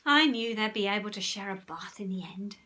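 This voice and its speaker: A woman voicing a mild, easygoing father in a storybook reading, speaking softly and breathily. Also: high voice, sing-song, slow pace, voice pushed up, exaggerated voice.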